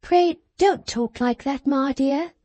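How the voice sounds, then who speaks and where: A woman voicing a spirited, affectionate young woman who speaks briskly and earnestly. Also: sits high, warm tone, no room sound, pitched above the performer's own voice. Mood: lively earnest.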